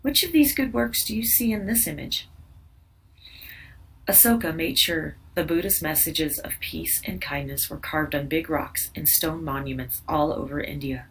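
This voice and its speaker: A woman's voice: low-pitched, moderate pace, husky. A woman narrating a scripted educational read-aloud for children. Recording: distant mic with background noise.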